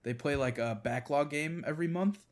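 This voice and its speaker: A man's voice: a little high, fairly quick. A man co-hosting a podcast, chatting informally in a loose, rambling way. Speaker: podcast co-host. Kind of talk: casual chat about games.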